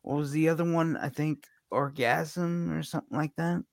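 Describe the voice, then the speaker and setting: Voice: mid-range voice, fairly even intonation, normal speed, vocal fry, no room sound, studio quality. A co-host in casual, teasing banter.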